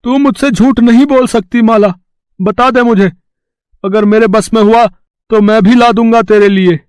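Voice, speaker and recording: Guy doing a poor worker husband in a cartoon story, really high voice, earnest, dry close sound. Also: fairly even intonation, normal speed, a little mellow, clear, resonant tone, no room sound, close to an everyday voice. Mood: concerned.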